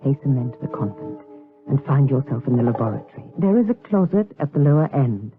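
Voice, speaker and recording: An actress playing a cool, scheming temptress in a gothic radio drama, measured, insinuating and commanding. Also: female voice, extremely low pitch, sing-song, over music. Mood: instructing. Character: cool, scheming temptress coaxing a monk to evil. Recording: tinny, phone-like radio drama recording.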